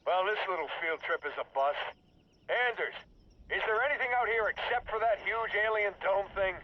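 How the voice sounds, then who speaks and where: Man acting as gruff army sergeant, high-pitched bark. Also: normal speed, lo-fi sound, natural voice. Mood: sardonic, irritated. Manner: barking urgent orders. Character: gruff, blunt male army sergeant leading troops in battle. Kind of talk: military game voice acting. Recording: loud battle music, artillery, radio and engines.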